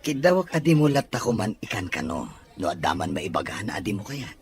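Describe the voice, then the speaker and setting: A man's voice: lowish voice, big pitch swings, a little fast, crowd noise. An actor with an Ilocano accent playing an earnest young man in love in a radio drama. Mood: hopeful, affectionate.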